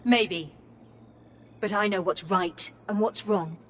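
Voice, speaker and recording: Indignant, bright-voiced AI assistant. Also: female voice, mid-range voice, takes it slowly, a little hiss or hum. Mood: defiant, hurt. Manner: firm, reproachful. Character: principled, indignant female AI assistant. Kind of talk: video game voice acting.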